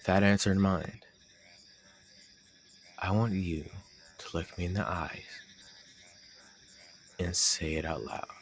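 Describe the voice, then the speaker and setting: Noticeable background noise sits under a very low young male voice, acted by a man. He is a shy, teasing friend with a secret crush, speaking haltingly and intimately in a romantic audio roleplay. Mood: coy, tender.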